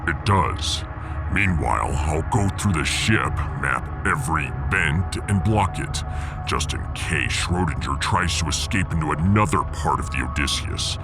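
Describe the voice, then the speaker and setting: A huge barbarian warrior for a sci-fi audio drama. He is deep-voiced with wide swings in pitch. His wording is solemn and formal, fierce yet tender toward his cat. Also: lively intonation, a little slow, over music, heavily acted. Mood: solemn, protective.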